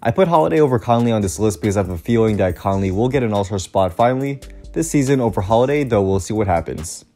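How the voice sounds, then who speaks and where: A man's voice: lowish voice, animated, quick pace, dark tone, soft background music. A young man reading scripted basketball commentary from a prepared text, his voice still a bit off after the flu. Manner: reads a prepared script with stat lists, upbeat. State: recovering from the flu, voice slightly off. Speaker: sports commentary YouTuber.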